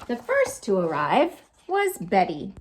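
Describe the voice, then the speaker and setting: A woman storytime host reading picture books aloud to children, expressive and sing-song, with chatty asides to her young listeners. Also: mid-range voice, slow, slight background noise, low-quality recording. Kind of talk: animated picture-book read-aloud for children.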